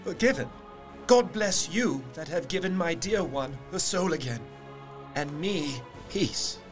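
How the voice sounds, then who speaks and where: A man playing a heartbroken, noble young gentleman in a radio play, speaking earnestly and formally with emotion. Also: on the high side, lively intonation, unhurried, faint music behind. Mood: grateful, moved.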